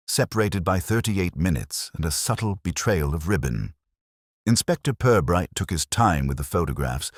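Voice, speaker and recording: Male narrator, extremely low pitch, lively intonation, measured delivery, scripted mystery storytelling, dry close sound. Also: breathy edge, dry recording.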